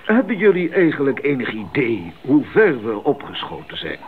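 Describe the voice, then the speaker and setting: A seasoned missionary doctor in an eighties radio play. He swings his pitch with breezy enthusiasm and never sounds tired. The recording is thin as a phone line, with some hiss. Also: huge pitch swings, moderate pace. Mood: reassuring. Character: cheerful seasoned jungle missionary doctor, older, tireless and enthusiastic. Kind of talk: 1980s Dutch radio play acting. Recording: muffled phone-like old radio recording, noisy.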